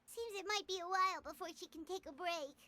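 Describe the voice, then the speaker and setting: A woman's voice: extremely high pitch, fast, pushed and bright, slight background noise. A woman voicing a small, eager, sympathetic childlike fairy companion in an anime game. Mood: chatty. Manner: speaks of herself in the third person.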